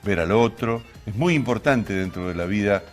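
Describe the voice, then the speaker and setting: A man's voice: on the low side, lively intonation, normal speed, soft background music. A man with an Argentine accent hosting a talk show, speaking in an expansive, explanatory way and often rephrasing as he introduces topics. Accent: Argentine Spanish. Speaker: television show host. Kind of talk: conversational programme introduction to camera.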